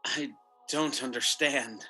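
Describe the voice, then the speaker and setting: A man's voice: mid-range voice, steady tone, takes it slowly, pushed and bright. An actor playing a shaken old angel in a radio play, delivering his words with grave urgency. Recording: radio play recorded over video call, music behind.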